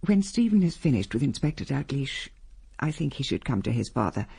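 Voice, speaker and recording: An actress playing a composed, stoical older upper-class lady of the house in a radio drama, measured and restrained. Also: extremely low pitch, big pitch swings, vocal fry, mellow, slightly rough, no room sound, exaggerated voice. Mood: composed, weary. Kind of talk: radio drama acting and narration.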